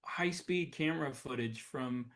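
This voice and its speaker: A man's voice: mid-range voice, unhurried, fairly bright tone. A man co-hosting a podcast in casual chat, explaining things informally and often correcting himself as he goes. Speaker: podcast co-host.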